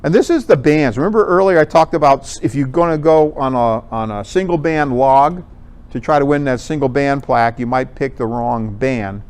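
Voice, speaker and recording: A man's voice: mid-range voice, normal speed, a little mellow, smooth. A man giving an informal, explanatory slide presentation to a group in a conversational way. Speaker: presenter at an amateur radio club talk.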